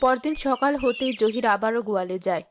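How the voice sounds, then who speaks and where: A woman's voice: a little high, moderate pace, background noise. A woman narrating a scripted cartoon story. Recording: music behind the voice.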